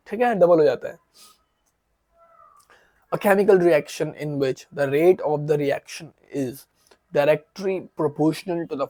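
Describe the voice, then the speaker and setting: A hoarse man teaching an exam-prep chemistry lesson, slowly reading out each question and then asking and answering his own questions. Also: a little high, a little mellow, dry recording. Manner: explains in Hindi, reads questions aloud in English, asks and answers his own questions.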